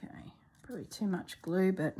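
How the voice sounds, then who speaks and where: A woman narrating her crafting work in a soft, relaxed voice, sometimes dropping to a whisper, congested and clearing her throat. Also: mid-range voice, a little fast, a little hiss or hum. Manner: soft-spoken, often drops to a whisper while working. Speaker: craft video maker.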